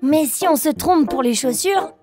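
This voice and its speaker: High-pitched, playful little bear cub. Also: expressive, over music. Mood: playful, proud. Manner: childlike, playful, slow simple phrasing. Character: cheerful, curious little male bear cub, a toddler. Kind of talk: children's cartoon dialogue and rhymes.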